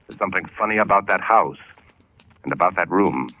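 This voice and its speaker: An actor in 1940s radio drama playing a frantic young newlywed husband, urgent, halting and pleading. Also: male voice, deep voice, animated, sharp tone, heavily acted, low-quality recording. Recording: old radio recording with music.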